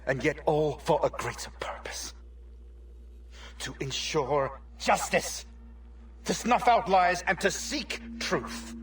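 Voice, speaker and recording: A haughty, zealous villain of gentlemanly bearing. His voice is rather high and scornful, heard at a distance, as in a game drama. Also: very animated, takes it slowly, whispered, exaggerated voice. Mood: righteous, strained. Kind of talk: video game cutscene acting.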